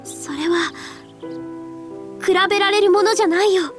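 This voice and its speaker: An actress voicing a gentle, earnest and quietly resolute teenage girl in a Japanese video game, hesitant in manner. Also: very high-pitched, normal speed, music in the background. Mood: hesitant, pained.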